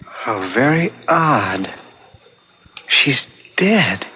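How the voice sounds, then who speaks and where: An American actor playing a mild, puzzled young writing instructor in a 1970s radio drama. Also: male voice, medium pitch, sing-song, extremely slow, loud music behind the voice. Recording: very noisy old radio broadcast.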